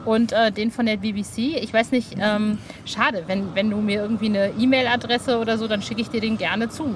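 Female interviewer, higher-pitched, informal and talkative, recorded on a roof terrace with clear traffic noise. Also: fairly even intonation, brisk. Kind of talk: casual chat interview. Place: outdoor roof terrace in a city. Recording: outdoor city noise, traffic behind.

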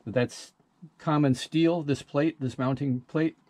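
Older guy doing a repair walkthrough, kinda higher voice, plain talk, casual and matter of fact. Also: steady tone, slow pace, a little mellow. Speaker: sailor demonstrating boat engine repair. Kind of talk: casual how-to narration.

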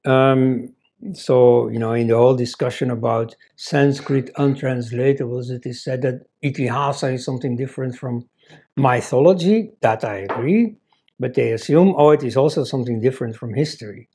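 A man's voice: mid-range voice, moderate pace, warm tone, a little hiss or hum. An older man whose first language is Dutch, seated at a desk and giving a conversational talk with many "you see" asides. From Belgium. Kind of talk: lecture-style talk. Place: office room indoors.

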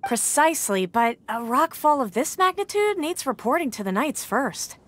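A woman playing a dutiful, concerned young female knight on patrol in a video game, speaking briskly. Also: sits high, very animated, a little hiss or hum. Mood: matter-of-fact.

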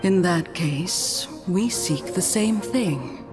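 Gentle flower spirit, slow solemn delivery, poetic, serene, long reverb, video game, music behind. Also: female voice, on the low side, animated. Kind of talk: scripted video game dialogue.

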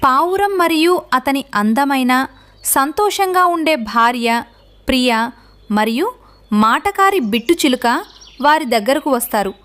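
A woman's voice: sits high, animated, unhurried, a bit bright. A woman reading a scripted children's story as narrator.

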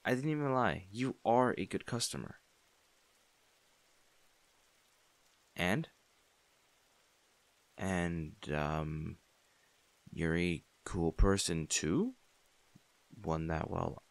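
A man's voice: low voice, slow, creaky, long pauses, no room sound, exaggerated voice. A man playing a kind young barista with a shy crush, speaking reassuringly and a little hesitantly in an intimate audio roleplay. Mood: flustered, shy. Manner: soft-hearted, reassuring, hesitant. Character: kind young male barista, caring, shyly smitten. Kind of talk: intimate comfort audio roleplay, natural conversational acting.

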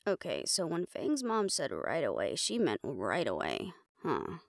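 A tough, wry huntress acted out by a woman in audio roleplay. She is defiant and casual, with an animated, creaky voice in a very dry recording. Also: low voice, a little slow, no room sound, exaggerated voice. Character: stubborn, sardonic, tough female supernatural hunter.

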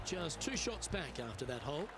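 A man playing a TV golf commentator in a video game, calling play in a measured, conversational way. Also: on the high side, sing-song, noisy background. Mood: measured commentary.

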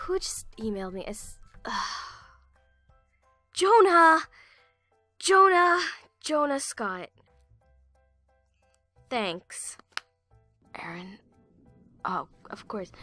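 A woman with a fairly high voice riffs playfully between takes. She takes her time, and the sound is dry with music underneath. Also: lively intonation, very slow, long pauses, bright, forceful tone, no echo. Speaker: amateur voice actor. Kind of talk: outtake chatter between scripted lines.

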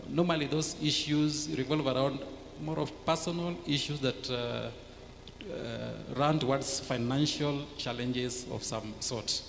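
A middle-aged man with a Kenyan English accent speaks in a gravelly, mid-pitched voice. He explains patiently on a church panel, often pausing on fillers. The sound is roomy, with clear background noise. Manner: thoughtful, hesitant fillers, gesturing. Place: church TV studio set, seated. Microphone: handheld mic. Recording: echoey hall with noticeable noise.

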